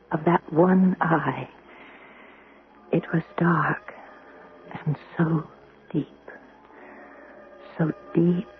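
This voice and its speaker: An actress playing a gentle, humble young model in a radio drama, hesitant and wistful. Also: female voice, fairly low pitch. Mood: dreamy, entranced. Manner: hesitant, apologetic, breathy pauses. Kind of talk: radio drama acting and first-person narration. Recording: noisy, narrow-band old radio recording.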